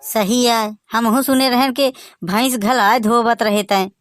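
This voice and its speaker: A man with a Bagheli accent voicing a boastful village son-in-law in a comic cartoon, teasing in lively banter. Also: very high-pitched, unhurried. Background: music.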